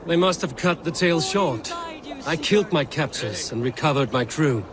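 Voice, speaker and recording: An actor playing a proud, defiant Viking warrior in a video game, speaking bluntly. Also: male voice, fairly high pitch, lively intonation, normal speed, crowd noise, close to an everyday voice. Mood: warm. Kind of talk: video game cutscene acting.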